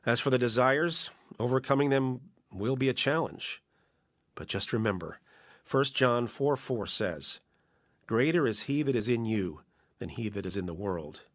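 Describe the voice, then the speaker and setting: A man playing an older devout father who speaks slowly and gently and quotes scripture. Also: mid-range voice, lively intonation, no room sound, studio quality, natural voice. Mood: tender.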